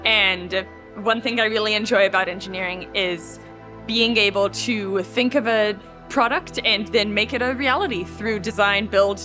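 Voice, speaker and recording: A woman, briefly introducing herself as an engineer. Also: mid-range voice. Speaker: panelist, engineer. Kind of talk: short self-introduction. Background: music.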